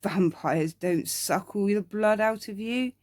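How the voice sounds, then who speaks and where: A woman's voice: medium pitch, slow, darkish tone, dry recording, studio quality, voice pushed up, close to an everyday voice. A woman voicing a precocious, earnest little boy, matter-of-fact and knowing, in an ASMR bedtime story. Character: precocious, earnest little boy who loves scary monsters.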